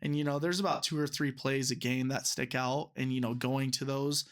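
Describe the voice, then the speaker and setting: A man's voice: medium pitch, fairly even intonation, moderate pace, a bit bright. A man in his twenties, a referee and teacher, talking casually as a guest in an interview and rambling with lots of fillers. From United States. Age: 20s. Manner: chatty, rambling, many "you know" fillers.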